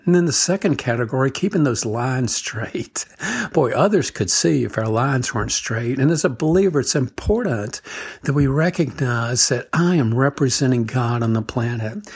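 Older man, husky mid-pitched voice, gentle storytelling devotional with a closing prayer. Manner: gentle storytelling, chuckles often. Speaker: devotional speaker. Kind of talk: devotional talk.

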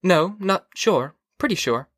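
A man voicing a vague, detached, drowsy wife from a dystopian novel, airy and halting. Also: high-pitched, expressive, a bit bright, no echo, clean studio sound, voice pushed up. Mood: drowsy, offhand.

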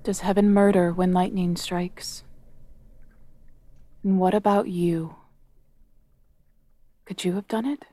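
A woman's voice: on the low side, little pitch movement, slow pace, pauses often, warm tone, no echo. A woman playing a grave, questioning sailor who narrates an audio drama. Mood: probing, grave.

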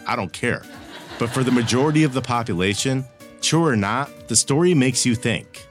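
A man's voice: medium pitch, expressive, normal speed. A man hosting a podcast in casual chat, with a wry, blunt manner. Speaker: podcast host. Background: background music.

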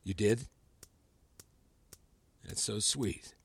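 Measured, controlling male mentor. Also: on the low side, vocal fry, slightly rough, dry recording, heavily acted. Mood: quiet, patient. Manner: measured, didactic, teacherly. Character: erudite male psychology mentor, probing, patronising, controlling. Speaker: voice actor in a fiction podcast. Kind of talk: acted audio drama dialogue.

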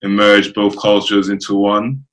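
Low, bright-edged man, halting and casual. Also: completely flat delivery. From Nigeria. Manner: halting, circling back to rephrase. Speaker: interview guest, musician. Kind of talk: casual chat. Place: remote video call.

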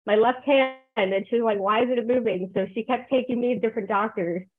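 A woman in her twenties from New Jersey, a podcast guest chatting in a hesitant, soft-spoken way and trailing off often. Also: a little high, brisk. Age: 20s. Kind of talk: casual interview chat. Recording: tinny phone-like call audio.

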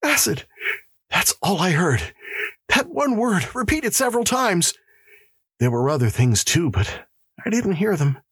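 A frantic male prisoner in an audiobook, his voice pushed extremely high. He gasps and pleads as if crazed with guilt, lurching wildly in pitch and close in a dry recording. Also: sing-song, a little slow, long pauses, a bit bright, husky, dry recording, exaggerated voice. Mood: shivering fear. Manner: shrieking, gasping, incoherent pleading. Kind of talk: audiobook character acting.

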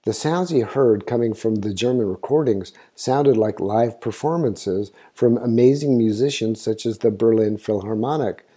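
A man's voice: on the low side, moderate pace, few pauses, dark tone, husky. A man hosting a history show, reading a scripted narration in an informative storytelling way, now and then stumbling over a word. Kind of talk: scripted narration, read aloud.

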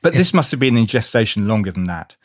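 A man's voice: on the low side, very animated, fast, slight background noise. A man hosting a podcast, moving between scripted introduction and conversational interview questions. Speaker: podcast host.

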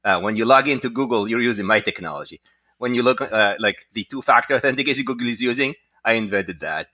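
A man speaking as a guest entrepreneur, telling his story conversationally with frequent halting asides. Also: medium pitch, pushed and bright, no room sound. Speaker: guest, tech entrepreneur and inventor.

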